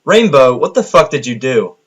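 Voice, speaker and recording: A narrator plays a grumpy human man stuck among ponies in an audio drama. He is sarcastic and easily exasperated, with a bitter, blunt edge to his medium-pitched voice. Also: very animated, moderate pace, a bit bright, husky. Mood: angry.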